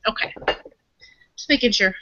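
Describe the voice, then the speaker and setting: A woman's voice: moderate pace, highs cut off, background noise. A woman playing a tabletop roleplaying game, talking casually as she works out plans with the group.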